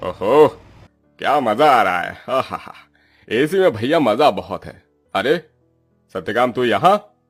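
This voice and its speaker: A man's voice: medium pitch, lively intonation, slow pace, pauses often, husky, faint music behind. A man playing a smug, rude village landlord in a cartoon story, dismissive in manner.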